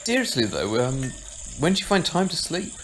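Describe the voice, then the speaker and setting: A man's voice: fairly high pitch, wildly swooping pitch, normal speed, fairly bright tone, a little hiss or hum. A man acting a carefree, joking pub regular in an amateur audio drama, talking in a casual, slightly hesitant, teasing way. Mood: teasing, nosy.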